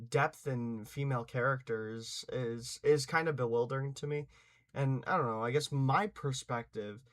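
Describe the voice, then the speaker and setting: Young man, casual commentary, hesitant, lots of restarts, middle pitch. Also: fairly bright tone. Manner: hesitant, many restarts and fillers, swears for emphasis. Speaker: channel host giving opinions.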